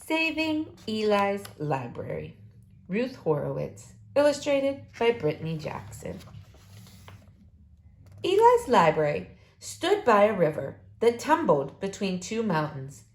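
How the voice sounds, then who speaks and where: A woman reading a children's story aloud in an expressive, deliberate, dramatic way. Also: medium pitch. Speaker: storybook reader. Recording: roomy, echoing room sound.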